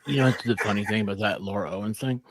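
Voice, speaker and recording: A man on a casual livestream, teasing the others and getting excited as he spins out a joke. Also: on the low side, racing, noisy background. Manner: teasing, gets excited and animated.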